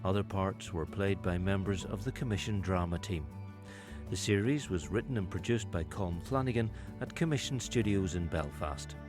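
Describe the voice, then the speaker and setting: A man with a low voice makes a scripted radio announcement. Music plays clearly behind his words as he reads in announcer style. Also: normal speed.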